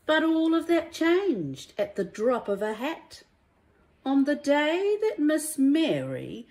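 A woman reads a children's storybook aloud like a primary teacher, in a deliberate, emphatic and expressive way. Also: high-pitched. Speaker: primary school teacher reading a story to young pupils.

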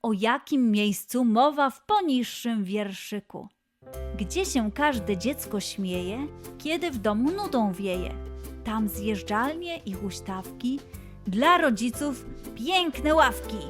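A woman's voice: fairly high pitch, animated, slow, over music. A woman presenting a scripted quiz for children in a playful, encouraging, exclamatory way. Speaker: children's quiz show host.

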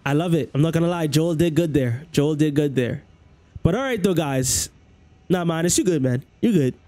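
A man's voice: a little high, normal speed, dark tone. A young man from the Bahamas with a Bahamian accent, chatting loosely with viewers at the end of a game stream, often repeating himself. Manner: loose, rambling, repeats phrases. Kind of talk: casual livestream chat with viewers. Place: small room at home, indoors. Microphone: headset.

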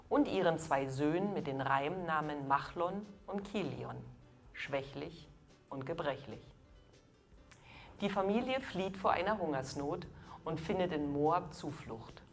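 Low-voiced middle-aged woman preaching. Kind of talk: scripted sermon.

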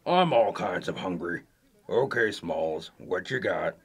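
A man playing a huge, dim, hungry man-eating brute with a gravelly voice in a comedic audio drama. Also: sits low, lively intonation, takes it slowly, full character voice. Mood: menacing, musing.

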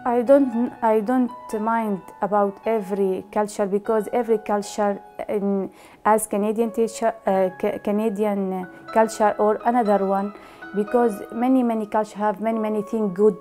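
A woman from Syria answering interview questions in a living room, pausing often and searching for words. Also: mid-range voice, fairly even intonation, warm tone, faint music behind. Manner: halting, searching for words with many uhs. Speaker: interviewee, immigrant mother. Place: indoor living room, on a sofa.

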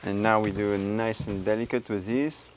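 A man's voice: fairly low pitch, fairly even intonation, moderate pace, heavy background noise, natural voice. A man playing a fussy, sardonic French chef with a French accent on a comic cooking show. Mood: fussy, genteel. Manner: pompous, sardonic. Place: TV studio kitchen.

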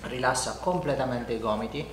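A man's voice: mid-range voice, a little fast. Male chiropractor, middle-aged, informal and reassuring while instructing a patient; roomy sound with slight noise. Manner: informal, reassuring, often checks with "ok?" and "no?". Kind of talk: explaining and instructing a patient during a treatment session. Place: indoor treatment room in a home-like studio. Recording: echoey room, some background noise.

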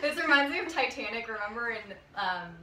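Young woman host, eager and chatty, sharp bright tone, far mic in a roomy space with light hiss. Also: on the high side, animated, fast. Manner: chatty, laughing, eager learner. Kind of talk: casual chat over tea. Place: small bright room with a tea table. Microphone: far camera. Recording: echoey room from far off, faint hiss.

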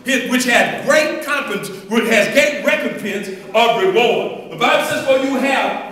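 A man's voice: extremely high pitch, moderate pace, bright, forceful tone. An older man preaching a sermon to a congregation, calling out and drawing responses in a loose, colloquial way, on a handheld mic. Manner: call-and-response preaching, colloquial, calls out "huh?". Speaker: pastor. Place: church hall, walking the floor before a congregation. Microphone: handheld microphone, filmed by a far camera. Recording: echoey hall from far off, congregation.

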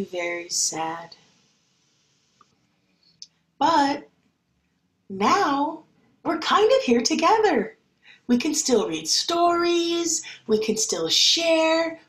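A woman teacher reading a picture book and talking to young children in an expressive, deliberate, child-directed way. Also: fairly high pitch, low-quality recording. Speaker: schoolteacher reading to pupils. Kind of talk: picture-book read-aloud and talk to children.

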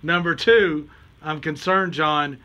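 A man's voice: on the high side, fairly even intonation, takes it slowly, muffled recording. A man in his thirties speaking as an attorney in a small office, teasing and mock-serious as he presses with questions. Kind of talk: bantering speakerphone call with a scam caller. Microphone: handheld phone camera at close range. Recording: noisy handheld phone video.

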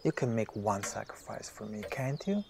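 A man's voice: fairly low pitch, sing-song, moderate pace, gravelly, background noise. A man playing a distracted, weak-willed, infatuated writer in an audio drama, hesitant and imploring. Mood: pleading.

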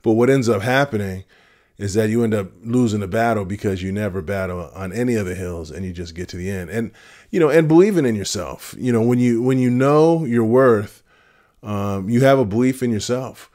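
A man in his fifties with a deep voice, giving earnest advice straight to camera in a casual vlog, with fillers and restarts. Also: brisk, darkish tone. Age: 50s. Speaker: retirement vlogger. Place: home room with shelves of vinyl records. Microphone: camera at close framing.

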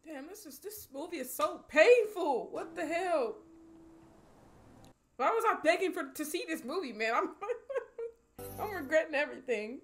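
Young woman, American accent, high swooping voice, slangy movie reaction, film soundtrack behind. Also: moderate pace, long pauses, faint music behind. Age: young adult. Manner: expressive, slangy exclamations. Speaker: movie reaction video host. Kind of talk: casual emotional movie reaction. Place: small home streaming room, indoors. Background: film soundtrack and dialogue.

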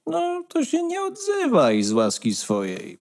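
A man's voice: very high voice, huge pitch swings, measured pace, full character voice. A man playing a bossy, smug female hen who lectures condescendingly in a fairy-tale radio play. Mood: snappish.

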